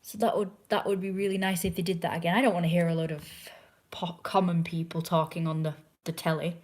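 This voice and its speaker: A young English woman with a slightly low voice that is roughened by a sore throat. She chats casually to a viewer from home, airing strong opinions and slipping into quiet, muttered asides. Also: level tone, quick pace. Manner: opinionated, chatty, drifts into hushed asides. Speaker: livestreamer chatting with a viewer. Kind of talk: casual rambling chat. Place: indoors at home, curled in a chair.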